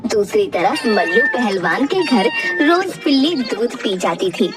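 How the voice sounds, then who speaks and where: Woman, scripted children's story narration, animated pitch, slightly distant, loud background music. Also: measured pace.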